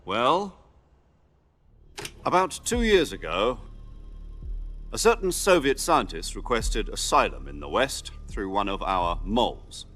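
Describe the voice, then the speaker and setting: An actor playing a seasoned, stern military commander who gives measured, authoritative briefings in a cinematic video game. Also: male voice, fairly low pitch, big pitch swings, fairly bright tone, soft background music, exaggerated voice.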